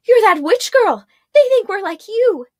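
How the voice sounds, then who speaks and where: Spiteful village mother, voiced by a woman, shrill and scornful, dry close read. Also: animated, measured pace, a little mellow, no room sound. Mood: panicked.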